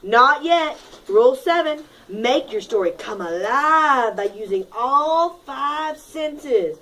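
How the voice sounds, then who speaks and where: High, animated woman teacher, slow and expressive. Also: slight background noise. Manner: expressive, dramatic, with drawn-out emphasis and call-and-repeat prompts. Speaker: primary school teacher. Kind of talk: animated read-aloud of a picture book to a class. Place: classroom with children.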